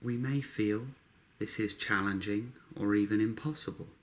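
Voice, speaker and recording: A man guiding a meditation, speaking calmly in short, unhurried phrases with long pauses between them. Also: on the low side. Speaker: meditation guide. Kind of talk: guided meditation narration.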